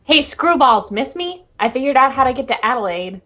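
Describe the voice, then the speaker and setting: A woman's voice: sits high, lively intonation, brisk, pushed and bright, exaggerated voice. A woman voicing a brash, sassy, scheming cartoon character, recorded on a dull home microphone.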